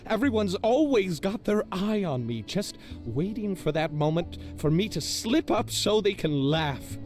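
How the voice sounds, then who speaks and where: An actor playing a pompous, boastful self-styled hero in a video game, with grandiose, drawn-out delivery and insecurity underneath. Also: male voice, high-pitched, big pitch swings, darkish tone, faint music behind. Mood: distraught. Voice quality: theatrical, exaggerated delivery. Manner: grandiose, mock-heroic, drawn-out emphatic phrasing.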